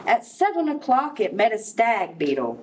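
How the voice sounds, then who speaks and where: A woman reading a storybook aloud to young children, expressive, with explanatory asides. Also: on the high side, normal speed. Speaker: Head Start center director reading to children. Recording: distant mic, clear background noise.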